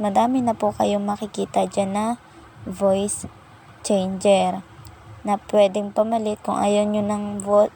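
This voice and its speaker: A woman's voice: mid-range voice, warm tone, slight background noise, low-quality recording. A woman hosting an app tutorial, politely and patiently walking viewers through each step. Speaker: tutorial channel host.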